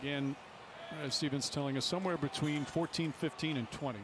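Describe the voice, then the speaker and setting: A man's voice: on the high side, fairly even intonation, a little fast. A man giving basketball commentary with player stories, as a play-by-play announcer on a game broadcast. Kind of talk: sports commentary with background stories. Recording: noisy game broadcast with court sounds.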